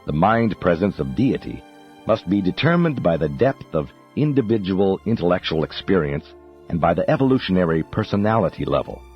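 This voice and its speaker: A man reading a spiritual text as a professional audiobook narrator, in a solemn, measured, declamatory manner. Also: sits low, big pitch swings. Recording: dull muffled audio under music. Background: background music.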